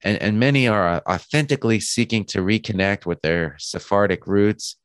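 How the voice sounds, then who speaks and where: A hoarse man with a cold, hosting a podcast from his home studio, talking in an earnest, thoughtful way with frequent restarts. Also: lowish voice, normal speed, no echo. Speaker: podcast host. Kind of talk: podcast introduction.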